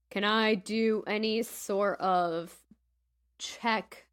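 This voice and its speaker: A woman's voice: mid-range voice, a bit bright, clean tone. A woman player chatting casually during a tabletop game, asking questions and describing what her character does. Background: faint game music.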